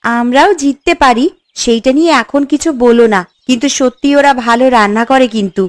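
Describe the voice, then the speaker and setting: Woman playing a high-voiced village housewife. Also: fairly quick, no room sound, natural voice. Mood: reassuring. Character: poor, worried village housewife who cooks. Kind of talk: cartoon voice acting. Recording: music behind the voice.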